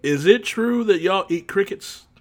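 A man's voice: high voice, lively intonation, measured pace. Male host, laid-back and playful. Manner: easygoing, joking, drawls y'all. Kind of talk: casual dating talk show.

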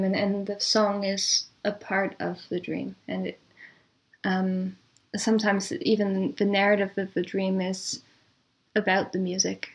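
A woman speaking as an interview guest in a conversational way. Her voice sits at a middling pitch with very little melodic movement. She is reflective, pausing as she explains things in careful detail. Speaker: interview guest, composer and audio drama producer.

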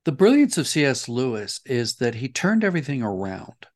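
A man's voice: mid-range voice, big pitch swings, normal speed, a little mellow. A man speaking as an interview guest, explaining his reflections earnestly and with emphasis. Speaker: interview guest, audio dramatist.

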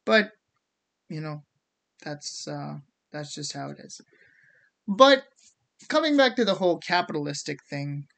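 Medium-pitched voice of unclear sex, American accent with hints of Indian, theatrical, sarcastic, casual ranting host. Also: sing-song, unhurried, creaky, long pauses, a bit bright. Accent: American with traces of Indian. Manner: theatrical, sarcastic, swearing, acts out mock quotes. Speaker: host of the show. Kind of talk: casual opinionated rant.

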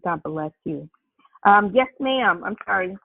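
Gravelly-voiced woman hosting a prayer call. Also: medium pitch, expressive, a little slow, dry recording. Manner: effusive, exclaims praise. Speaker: host and Bible teacher. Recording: tinny phone line.

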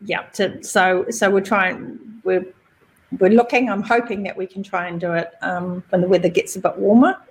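A woman with a New Zealand accent, a podcast guest telling stories in a relaxed, chatty way. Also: mid-range voice, normal speed, a little hiss or hum, rough recording. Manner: chatty, relaxed storytelling with fillers. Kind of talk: casual interview chat.

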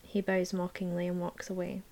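Woman narrator with measured, hushed reading. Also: on the low side, completely flat delivery, gravelly. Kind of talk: audiobook narration, present tense.

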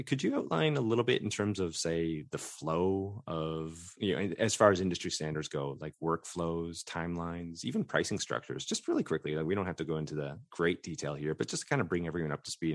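A man's voice: low-pitched, animated, a little fast, vocal fry, few pauses, dry recording, studio quality. A man hosting a podcast, asking curious questions and thinking aloud with many fillers. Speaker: podcast host. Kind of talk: casual interview talk.

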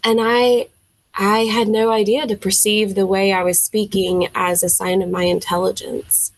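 A woman's voice: mid-range voice, moderate pace, no echo. A young woman from Tennessee with a Southern accent, a music teacher speaking as a podcast guest, telling her story animatedly with frequent fillers and acted-out reactions. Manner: animated, acts out her own quoted reactions; frequent fillers, thinks aloud. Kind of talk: casual storytelling interview.

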